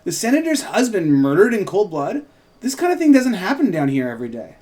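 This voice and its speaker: Man reading as a sassy, flirty fantasy woman, lifted pitch. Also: wildly swooping pitch, a little fast, darkish tone. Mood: earnest. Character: sharp-tongued flirtatious woman in a fantasy script. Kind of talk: amateur table read of a TV script.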